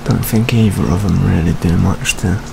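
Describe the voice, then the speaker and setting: A young man playing a jaded, world-weary British police detective in an ASMR roleplay, speaking in a hushed, deliberate manner. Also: deep voice, gravelly. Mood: weary, confiding. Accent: British English. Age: 20s. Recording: steady rain ambience noise. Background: rain sounds.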